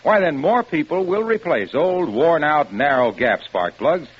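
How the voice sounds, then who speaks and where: A man's voice: on the high side, lively intonation, a little slow, slightly rough. A man delivering a scripted vintage radio commercial as an enthusiastic salesman. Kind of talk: scripted 1940s-50s radio advertisement. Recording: muffled old radio broadcast recording.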